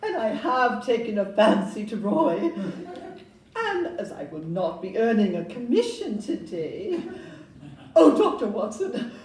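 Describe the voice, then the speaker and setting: Polite, eager young Victorian lady house agent for an actress, highish animated voice, stage audio play. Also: unhurried. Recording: echoey room, distant mic.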